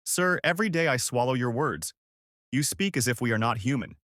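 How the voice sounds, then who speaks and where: A man voicing a weary, humble young housemaid who pleads earnestly and with quiet defiance in a told story. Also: mid-range voice, expressive, brisk, no echo, studio quality, natural voice. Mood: defiant.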